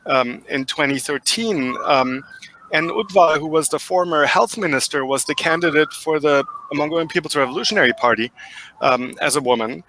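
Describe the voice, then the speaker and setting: German-accented man, bright forceful voice. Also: fairly high pitch, moderate pace, slight background noise, rough recording. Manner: thoughtful, many fillers and restarts. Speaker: guest expert. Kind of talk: interview answers, analytical.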